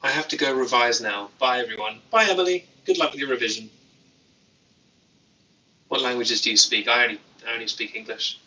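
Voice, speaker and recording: A man hosts a relaxed livestream, reading comments with deadpan, playful humor. His voice is very bright and sharp, at a middle pitch, picked up a little away from the mic. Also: brisk, long pauses, distant mic, highs cut off. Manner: deadpan, playful, jokey asides. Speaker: YouTuber livestream host.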